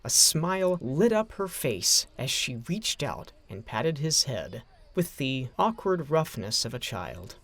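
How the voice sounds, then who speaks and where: A man's voice: medium pitch, big pitch swings, slow, few pauses, a bit bright. A man narrating a scripted story as an audio drama narrator.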